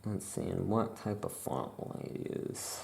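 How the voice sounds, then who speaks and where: A soft-spoken ASMR host playing a witch shopkeeper, casually improvising and thinking aloud in an unhurried, rambling way. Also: low-pitched, animated, creaky, slight background noise.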